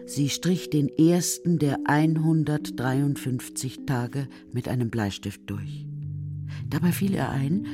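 A narrator telling a children's story in a scripted radio drama style. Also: mid-range voice.

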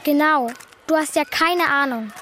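A woman's voice: high voice, unhurried, sharp tone, slight background noise. A woman playing a nosy, cheeky and blunt schoolgirl in a German children's radio play. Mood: scornful.

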